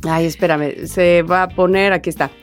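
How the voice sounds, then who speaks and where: A woman's voice: fairly low pitch, fairly even intonation, moderate pace, soft background music. A woman with a Mexican Spanish accent chatting casually as a podcast co-host. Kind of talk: casual chat among friends.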